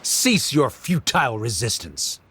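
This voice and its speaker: Self-righteous male prosecutor for a video game: formal, accusatory, animated intonation. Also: mid-range voice, a little slow, noisy background. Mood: scornful. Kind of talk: scripted video game voice acting.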